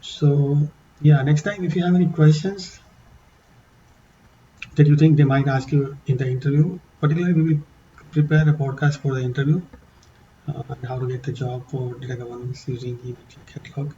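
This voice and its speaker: A man with an accent walking through a software demo in a halting, casual way, with many fillers and restarts. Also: medium pitch, steady tone, muffled recording, slight background noise. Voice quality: non-native English accent. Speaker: tutorial presenter. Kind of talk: casual screen-share walkthrough.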